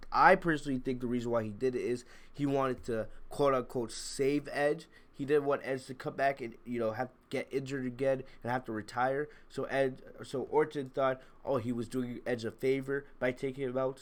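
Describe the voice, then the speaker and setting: Congested man giving blunt livestream commentary. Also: medium pitch. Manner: opinionated, blunt, emphatic, mildly profane. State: congested, sick with a cold. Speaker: wrestling review livestream host. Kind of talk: casual live commentary, chatting to viewers.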